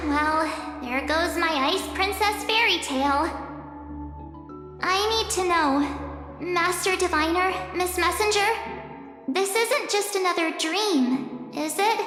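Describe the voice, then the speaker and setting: An actress voicing a perky, naive young girl adventurer in an anime game, expressive, exclamatory and easily flustered. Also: very high-pitched, heavily acted. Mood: earnest. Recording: echoey long reverb, loud music.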